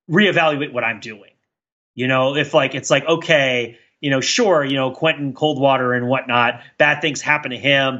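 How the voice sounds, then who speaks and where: A man with a fairly high, bright and forceful voice talks as a podcast co-host. He thinks aloud in loose, rambling riffs. The recording sounds a little dull and lo-fi. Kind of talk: casual chat, rambling riffs.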